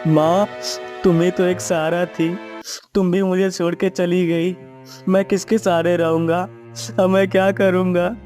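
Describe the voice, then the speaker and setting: A man's voice: medium pitch, fairly even intonation, a little slow, faint music behind, close to an everyday voice. A man as a plaintive, luckless young villager. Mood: grieving. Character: sorrowful, luckless poor young village son. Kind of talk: acted dialogue in a moral story cartoon.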